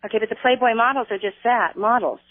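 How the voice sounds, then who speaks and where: A woman in her forties calling a radio show, arguing in a halting but insistent way over the telephone. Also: fairly high pitch, dry recording. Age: 40s. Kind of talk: argumentative call-in conversation. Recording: tinny narrow phone line.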